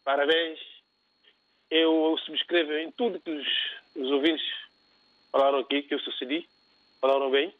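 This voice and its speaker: A man's voice: fairly high pitch, flat delivery, moderate pace, long pauses, dry recording. A man from São Tomé and Príncipe calling in to a radio show, speaking with passion and indignation. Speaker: radio caller. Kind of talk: phone-in opinion. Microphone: telephone. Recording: tinny phone line, dry.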